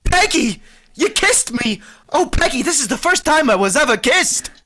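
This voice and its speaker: An awkward young man of twenty-one from an old-time radio play, earnest and hopelessly lovestruck, as a woman acts him out. He rambles and fumbles his words, his voice pitched a bit high and swinging lively up and down. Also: moderate pace, slight background noise, exaggerated voice. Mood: bashful. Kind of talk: 1940s radio acting.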